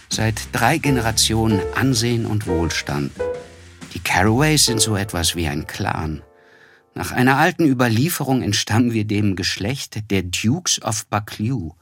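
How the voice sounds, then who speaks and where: Casting: reflective first-person narrator, literary audio drama. Lowish pitch, intimate dry sound, measured, quietly disillusioned. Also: male voice, no room sound. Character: reflective, disillusioned Midwestern man recalling his past. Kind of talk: literary radio play narration.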